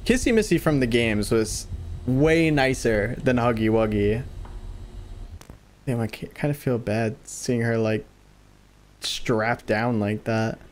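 Casual young man over clear background noise. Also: medium pitch, takes it slowly, pauses often. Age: 20s. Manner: informal, slangy. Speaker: video host reacting to horror videos. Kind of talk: casual reaction commentary. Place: small room at home, indoors. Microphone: desk microphone close to the mouth, headset on.

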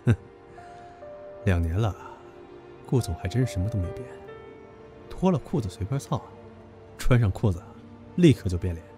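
Male actor as possessive young male lover, low voice, taunting, demanding, swinging intonation, audio drama. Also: sing-song, moderate pace, pauses often, over music. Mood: smug, cool. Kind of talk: Chinese audio drama acting.

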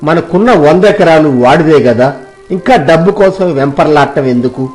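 Man acting an emphatic, high-voiced elderly village father. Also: lively intonation, normal speed, darkish tone, faint music behind, lightly acted. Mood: reproachful. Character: elderly village father, idealistic, scolding yet loving landowner. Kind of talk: dramatized moral story acting.

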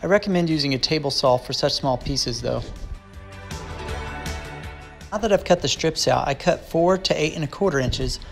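A man narrating a woodworking build over faint background music. His delivery is plain and measured, walking through each cut and measurement as a practiced how-to voice-over. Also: a little high, vocal fry. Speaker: DIY woodworking instructor. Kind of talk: scripted step-by-step voice-over narration.

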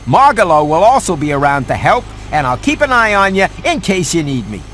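Sarcastic house cat, high-pitched, lively swings, fussy, sardonic, video game cartoon. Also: male voice, fairly quick, slight background noise. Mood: wry, instructing. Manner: wisecracking, sardonic. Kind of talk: animated video game cutscene acting.

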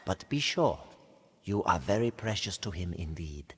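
Brief: a deferential dark servant, low voice, lively pitch. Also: male voice, normal speed, husky, exaggerated voice. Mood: subdued. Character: grim male alchemist, deferential to master.

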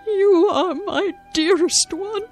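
An actress playing a frail, devoted elderly wife in a radio drama, speaking tenderly and haltingly. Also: female voice, extremely high pitch, darkish tone, faint music behind. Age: older. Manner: slow, tender, halting delivery.

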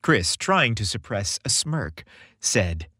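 A man narrates a scripted romantic story. His voice is low and a little rough, with animated intonation, captured very dry and close. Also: takes it slowly, no echo.

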